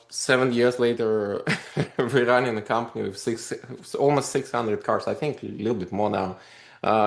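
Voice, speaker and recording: Guy telling stories on a podcast, animated, rambly, echoey room. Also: on the low side, gravelly. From Russia. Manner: animated, rambling with many fillers. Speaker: podcast guest, company founder. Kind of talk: casual interview storytelling.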